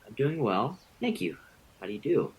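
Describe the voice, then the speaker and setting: A man's voice: medium pitch, sing-song, moderate pace, husky, muffled recording. A man voicing a naive young mouse in an audio drama, polite, hesitant and full of questions. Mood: polite, timid. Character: naive young male pet-raised mouse, polite and unsure. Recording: faint outdoor ambience with birdsong.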